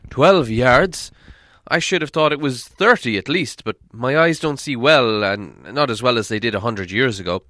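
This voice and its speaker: A man's voice: medium pitch, lively intonation, normal speed, bright, forceful tone, no echo. A man playing a stern old dwarf leader in a storybook reading, blunt and authoritative. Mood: commanding.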